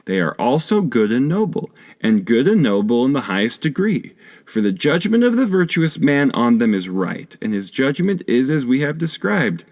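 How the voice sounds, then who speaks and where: A man reads a scholarly text aloud in a formal, measured manner. His mid-pitched voice rises and falls widely, giving the scripted narration a very varied melody. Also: very animated, a little mellow. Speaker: audiobook reader.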